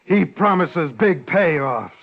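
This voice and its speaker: An actor playing a wounded, bitter escaped convict bent on revenge, in tough, brooding 1950s radio drama style. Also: male voice, on the high side, expressive, slow, creaky, heavily acted. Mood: brooding, menacing. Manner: tough, brooding gangster talk. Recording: muffled, worn 1950s radio recording.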